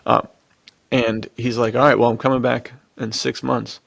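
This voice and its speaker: Guy chatting on a podcast, super into it, slangy, lots of vocal fry, really dry sound. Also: medium pitch, flat delivery, normal speed, fairly bright tone, dry recording. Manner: enthusiastic, slangy. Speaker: podcast co-host. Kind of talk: casual chat, retelling film scenes.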